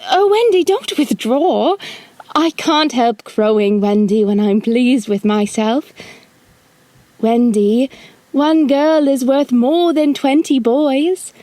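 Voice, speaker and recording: A cocky, playful boy who refuses to grow up, acted by a woman. The voice is fairly high and takes its time, recorded close and dry. The delivery is theatrical, suited to an audio drama. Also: slow, warm tone, no echo. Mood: coaxing. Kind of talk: audio drama acting, also narrating own stage actions.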